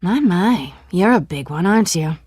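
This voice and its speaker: A woman's voice: lowish voice, lively intonation, moderate pace, a little mellow, slightly rough, no echo, studio quality. An actress voicing a fearless female cat burglar in a video game, playful, flirtatious and taunting. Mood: teasing, flirtatious.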